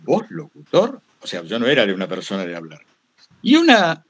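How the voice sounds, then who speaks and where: A man's voice: fairly low pitch, huge pitch swings, fairly bright tone, husky. Older Argentine man, reflective storyteller. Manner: rambling, halting, repeats phrases for emphasis. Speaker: guest speaker, retired radio broadcaster. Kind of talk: reflective anecdotal talk to a workshop.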